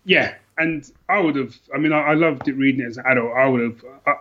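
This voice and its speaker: Male podcast guest, mid-to-low pitch, informal book talk, slightly lo-fi sound. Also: quick pace. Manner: informal and colloquial. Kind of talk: casual book chat.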